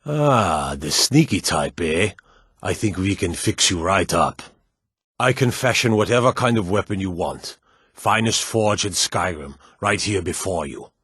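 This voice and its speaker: A proud old smith from a fantasy video game. His voice sits fairly low and has a rough, husky grain. He speaks plainly and with weight, gruff but measured. The recording is dry, with no room sound. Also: expressive, a bit bright, no echo, heavily acted. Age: older. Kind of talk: fantasy game character dialogue.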